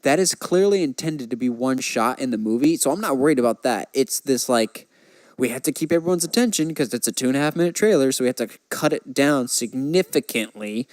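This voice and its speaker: An enthusiastic man co-hosting a podcast in casual film chat. He speaks at a middling pitch and gives his opinions freely, recorded dry with little room sound. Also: lively intonation, a little fast, a little mellow, no echo. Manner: opinionated, enthusiastic fan talk. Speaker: podcast co-host.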